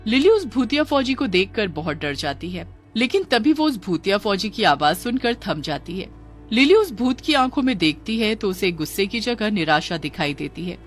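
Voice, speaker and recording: Female narrator for children's ghost tales: scripted, dramatic, medium pitch, light music bed. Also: very animated, moderate pace. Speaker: storyteller.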